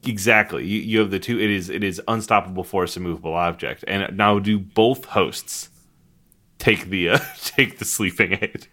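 A man with a booming, loud voice co-hosting a podcast in casual, jokey, profane and animated chat. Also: moderate pace. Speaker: podcast co-host.

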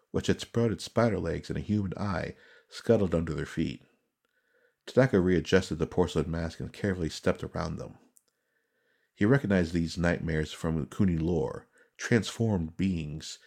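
A man reading dramatic fantasy narration as an audiobook narrator, solemn, measured and suspenseful. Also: low-pitched, vocal fry, darkish tone, slightly rough.

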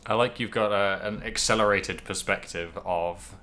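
Bright, lower-pitched man in casual chat. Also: steady tone, moderate pace, slight background noise. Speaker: podcast co-host. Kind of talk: casual video game discussion.

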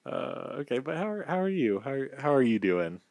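A man's voice: mid-range voice, very animated, moderate pace, gravelly, darkish tone, no room sound. A giggly man chatting casually as a podcast co-host, often laughing as he talks. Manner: giggly, breaks into laughter while talking. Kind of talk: casual chat.

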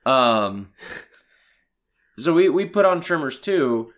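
Man, hoarse from a cold, casual podcast chat, animated, lively pitch. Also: takes it slowly. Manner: animated, laughing, swearing. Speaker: podcast co-host.

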